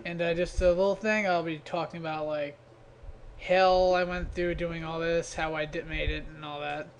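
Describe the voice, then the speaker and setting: A man's voice: on the high side, level tone, moderate pace, dull audio, slight background noise. A man giving a casual, off-the-cuff commentary on his own videos in a self-deprecating, grumbling way. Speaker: creator of an abridged parody series.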